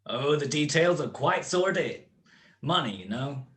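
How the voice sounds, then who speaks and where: Guy doing a smug cold-blooded crime boss for a radio play, kinda high voice, mocking and grand. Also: animated, unhurried, a bit bright. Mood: sly, smooth. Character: smug, theatrical, cold-blooded male criminal mastermind in prison.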